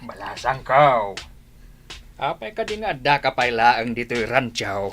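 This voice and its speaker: A man's voice: mid-range voice, animated, a little slow, fairly bright tone. A man playing a meddling, scolding older village man in a radio comedy drama. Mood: admonishing, exasperated.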